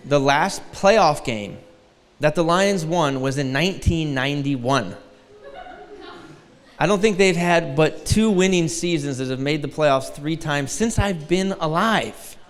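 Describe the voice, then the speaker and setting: A man's voice: on the high side, a little slow, long reverb tail. A man in his forties from Detroit, a guest preacher giving a sermon with a light, thin voice and a joking, self-deprecating manner. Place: large church hall.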